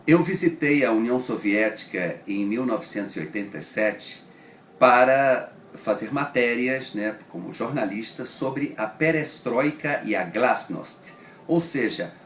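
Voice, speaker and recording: A mature man with a Brazilian accent recounts memories like a seasoned journalist, in a mid-pitched voice. The room is echoey, with faint noise around him. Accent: Brazilian Portuguese. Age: middle-aged or older. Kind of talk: casual interview recollection.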